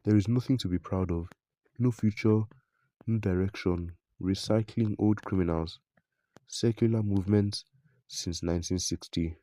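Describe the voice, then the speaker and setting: A man narrating a news report in a scripted, even read, quoting an interview and reading out public comments. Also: deep voice, moderate pace, no room sound.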